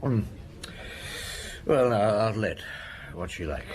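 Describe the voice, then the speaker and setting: A calm, seasoned police inspector. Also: male voice, lowish voice. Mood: curious. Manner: measured, dry, reflective. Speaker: narrator and detective. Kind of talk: radio drama acting.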